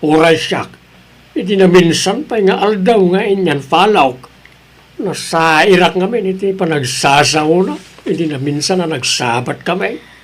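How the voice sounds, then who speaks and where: A sensible husband played by a man in an Ilocano audio drama. His voice is notably high for a man, with an Ilocano Filipino accent. He is earnest, moralizing and disapproving. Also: measured pace. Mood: concerned.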